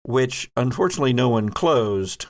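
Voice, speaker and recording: A man's voice: medium pitch, animated, normal speed. A man narrating a tabletop role-playing game as game master, setting scenes with vivid description.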